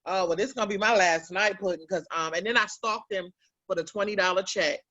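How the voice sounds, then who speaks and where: A woman's voice: mid-range voice, brisk, crowd noise. A woman, telling brash, profane comic stories on a comedy panel. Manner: brash, profane, animated storyteller. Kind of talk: casual comedic storytelling. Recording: lo-fi recording.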